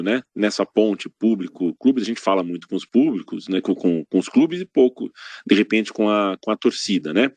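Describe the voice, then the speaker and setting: Deep-voiced podcast host. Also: male voice, a little mellow, no echo. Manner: long winding questions, frequent tag questions. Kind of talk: podcast interview.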